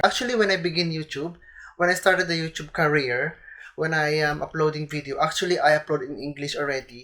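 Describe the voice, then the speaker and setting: Young Filipina vlogger with a Filipino accent and a slight cold, chatty, talks to camera. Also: female voice, deep voice, a bit bright. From Philippines. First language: Tagalog. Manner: chatty, keeps addressing viewers as "guys". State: has a cold. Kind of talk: casual advice vlog to camera.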